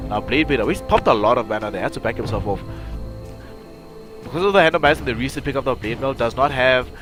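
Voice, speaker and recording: A man's voice: mid-range voice, quick pace, music in the background. A man with a stuffy, nasal voice from a cold, giving rambling live play-by-play commentary on an esports match. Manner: rambling, self-correcting analysis. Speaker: game caster.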